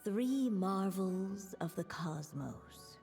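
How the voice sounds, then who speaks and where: A woman playing a gentle fairy-tale storyteller in a video game, measured and tender in her phrasing. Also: mid-range voice, lively intonation. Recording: long reverb under music.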